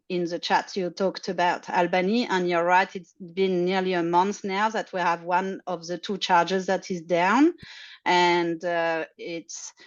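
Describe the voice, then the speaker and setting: Woman, lowish voice, explains at length on a muffled video call, casual and chatty. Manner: chatty, explanatory, frequent uh fillers, laughs lightly. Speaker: charging network representative. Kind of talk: casual online Q&A update. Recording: dull lo-fi audio.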